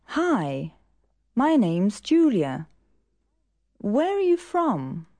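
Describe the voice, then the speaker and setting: Female actor as a flirty secretary, slow, slightly husky, animated pitch. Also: no echo, exaggerated voice. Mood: playful. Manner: slow, clear textbook delivery. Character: flirtatious secretary from Los Angeles. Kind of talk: scripted language course dialogue.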